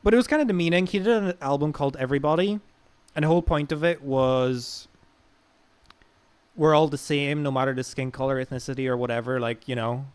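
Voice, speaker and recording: A man's voice: medium pitch, fairly quick, clean tone. A young man with an Irish accent telling personal stories in a casual, informal, sweary chat as a podcast guest. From Ireland.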